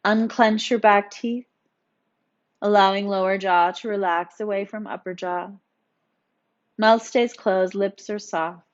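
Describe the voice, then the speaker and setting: A woman's voice: mid-range voice, steady tone, unhurried, long pauses. Woman guiding meditation, slightly dull audio. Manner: soothing, instructional, gently encouraging. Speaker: yoga instructor. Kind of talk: guided meditation narration.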